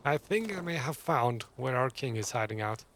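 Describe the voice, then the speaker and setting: A man's voice: medium pitch, lively intonation, normal speed, a bit bright, slight background noise. A man giving casual commentary as he plays a video game. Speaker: gamer commenting on playthrough.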